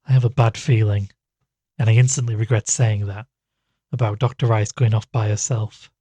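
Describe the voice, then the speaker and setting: An anxious amateur investigator in a horror audio drama played by a male actor, earnest and hesitant. His voice is husky and recorded dry and close, with a worried edge. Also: on the low side, little pitch movement, normal speed, mellow, no room sound. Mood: brooding, worried. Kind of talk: audio drama dialogue and first-person narration.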